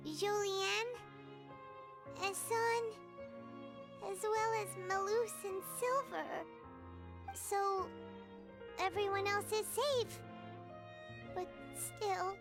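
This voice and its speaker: A woman's voice: very high voice, heavily acted. A woman voicing a small, childlike fairy companion in a video game, earnest and loyal. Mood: subdued. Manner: speaks of herself in the third person.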